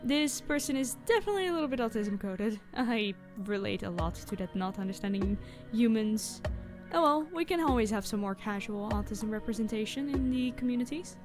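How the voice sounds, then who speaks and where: A woman's voice: a little high, animated, fairly quick, music in the background. A woman speaking casually to her audience as a channel host. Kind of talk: casual outro to viewers.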